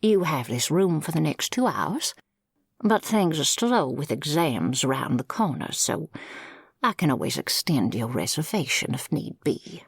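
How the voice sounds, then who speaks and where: A woman playing a kindly older female librarian in an audio roleplay, with a husky, creaky voice and gently formal, folksy manner. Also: low voice, big pitch swings, a little slow, no room sound, studio quality, exaggerated voice. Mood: helpful, gentle. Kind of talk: scripted audio roleplay acting.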